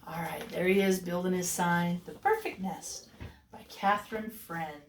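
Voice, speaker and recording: A woman's voice: fairly low pitch, slight background noise. A woman kindergarten teacher reading a picture book aloud expressively and chatting to young children. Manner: animated, explains words to children, drawn-out delivery when reading. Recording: roomy echo, distant mic.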